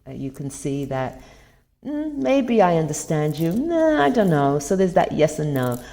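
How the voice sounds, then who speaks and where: A woman's voice: low voice, very animated, unhurried, dark tone. A woman hosting a podcast, talking earnestly through tips on communication and stressing key words. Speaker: podcast host. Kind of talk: motivational talk over video clips.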